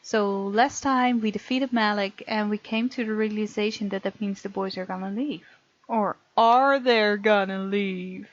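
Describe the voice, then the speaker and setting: A woman's voice: medium pitch, fairly even intonation, measured pace, no echo, lo-fi sound. A woman hosting a visual novel let's play, reading the game text aloud expressively and adding chatty reactions. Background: game music.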